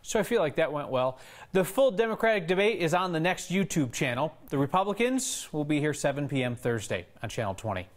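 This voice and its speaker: A man's voice: fairly high pitch, very animated, fairly quick. A male news anchor speaking in a conversational broadcast style. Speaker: news anchor and debate moderator.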